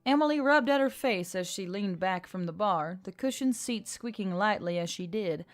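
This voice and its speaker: A woman's voice: medium pitch, expressive, normal speed. A woman reading a scripted fantasy story as an audiobook narrator. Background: music.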